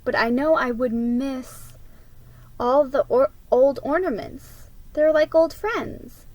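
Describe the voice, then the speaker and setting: A woman voicing a kindly, conciliatory mother in a storybook, with a gentle, cheerful manner. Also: sits high, slow pace, dry recording, voice pushed up, low-quality recording. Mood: thoughtful, conciliatory.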